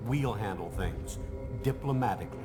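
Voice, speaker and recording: A man's voice: fairly low pitch, lively intonation, normal speed, over music, close to an everyday voice. A man playing a dismissive, authoritative head of state in a video game, speaking formally and firmly. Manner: formal, firm, curt.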